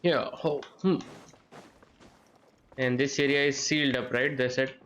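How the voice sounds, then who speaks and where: A man giving casual live gameplay commentary, halting and muttering to himself as he streams. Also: medium pitch, steady tone, fairly bright tone, slight background noise. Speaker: game streamer. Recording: game music behind voice. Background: game music.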